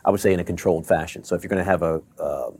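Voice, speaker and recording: A middle-aged man from Baltimore, a tech company chief, answering interview questions in a measured way with many fillers and restarts. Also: deep voice, steady tone, darkish tone, slightly rough, no echo. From Baltimore, Maryland. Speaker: tech company CEO guest.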